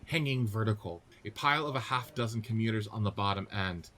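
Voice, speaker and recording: A man's voice: lowish voice, a little fast, a bit bright. A man reading scripted narration and stage directions for a radio play.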